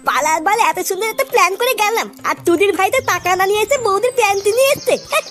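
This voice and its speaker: A woman acting as a male petty crook from a comic cartoon, pitched extremely high. The delivery swings widely and comes fast, full of impatient, bossy scolding, with music underneath. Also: expressive, fairly bright tone. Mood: annoyed. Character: brash, foul-mouthed male petty thief, bossy schemer.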